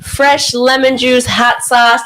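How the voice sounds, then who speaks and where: A woman's voice: on the high side, little pitch movement, takes it slowly, fairly bright tone. A young adult woman hosting a live call-in podcast, talking in upbeat, slangy banter. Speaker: podcast host. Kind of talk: live call-in show chat.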